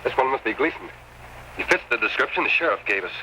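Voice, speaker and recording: Masked frontier hero: American, fast, forceful, authoritative, old radio Western with faint noise. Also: male voice, mid-range voice, animated, poor audio. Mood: thoughtful, decisive. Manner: crisp, earnest, authoritative. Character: masked lawman hero, steady, commanding, upright. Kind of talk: old-time Western radio drama acting.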